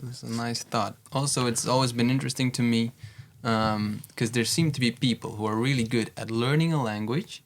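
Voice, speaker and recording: A man talking as a guest in a casual podcast chat, gentle and thoughtful. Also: mid-range voice, moderate pace, hardly any pauses.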